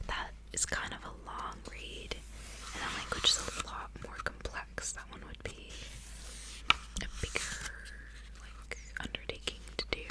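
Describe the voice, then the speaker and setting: A woman reading in a soft, breathy ASMR whisper, with slow, careful, hushed delivery, close to the microphone. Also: low-quality recording. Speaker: ASMR host reading a book aloud.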